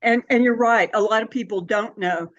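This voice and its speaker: A dull, slightly lo-fi and dry recording of an older woman. She speaks as an interview guest, explaining things in a measured, conversational way. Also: fairly high pitch, no echo. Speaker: interview guest, city board member and anti-hunger advocate.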